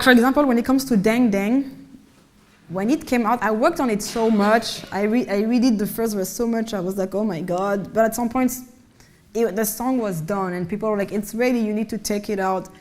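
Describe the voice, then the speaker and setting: A woman with a naturally low voice talks casually about her creative work in a panel setting. She speaks in an easygoing way and often stops and restarts her words. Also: a little mellow. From Mali and France. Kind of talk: casual conversational talk.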